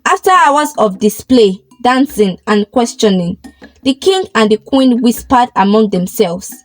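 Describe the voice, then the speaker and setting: A woman narrating a scripted folktale in a dramatic, expressive way. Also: fairly high pitch, measured pace, no room sound, faint music behind. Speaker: storyteller, narrator.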